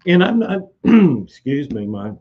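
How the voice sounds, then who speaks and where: A man talking slowly and haltingly, with many fillers, answering viewer comments on a live webcast, his voice slightly hoarse. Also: on the high side, very animated, muffled recording. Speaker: code expert on a live industry webcast.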